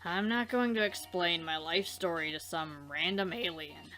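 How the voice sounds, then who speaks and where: A woman's voice: fairly low pitch, moderate pace, a bit bright, faint music behind, natural voice. A woman voicing a blunt, defensive young female alien cleaner in an amateur visual novel dub, curt and foul-mouthed. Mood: irritated. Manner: irritable, curt, profane.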